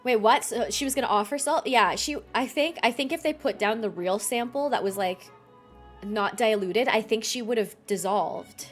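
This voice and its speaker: A woman gives casual live reactions to a game. Her voice sits slightly high and she is excitable, repeating phrases, with soft game music behind her. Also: normal speed. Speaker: game streamer. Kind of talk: casual livestream reaction commentary.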